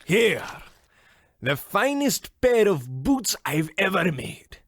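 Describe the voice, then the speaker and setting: A proud craftsman selling boots in a comic radio play. The voice is high, gravelly and very animated in pitch, yet slow. The delivery is dramatic and portentous. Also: no echo, studio quality, exaggerated voice. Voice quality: creaky, gravelly. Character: proud, salesmanlike male bootmaker. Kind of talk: comic old-time radio play acting.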